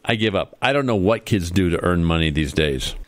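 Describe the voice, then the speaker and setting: A man's voice: deep voice, expressive, fairly quick, no room sound. A man acting as a stubborn, well-meaning, old-fashioned dad in a family radio comedy.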